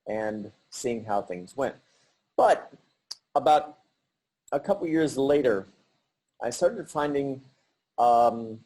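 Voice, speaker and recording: Hesitant man with a mellow voice. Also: lowish voice, rough recording. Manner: halting, many fillers and restarts. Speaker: artist presenting his work. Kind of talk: virtual artist talk.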